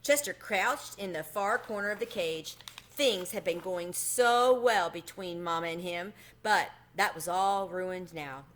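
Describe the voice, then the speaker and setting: A woman reading a children's story aloud in an expressive narrating style. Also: on the low side, measured pace. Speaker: storybook reader.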